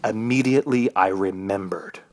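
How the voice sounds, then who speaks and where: An actor playing an injured, thirsty trapped man, narrating his ordeal in a brooding, halting, dramatic way for a horror radio play. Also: fairly low pitch, background noise, close to an everyday voice. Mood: grim, brooding.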